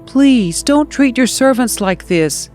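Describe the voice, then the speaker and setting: A woman's voice: a little high, lively intonation, takes it slowly, darkish tone, faint music behind, pitched above the performer's own voice. A woman voicing an oppressed, desperate male work foreman, pleading and aggrieved, in a dramatized scripture reading.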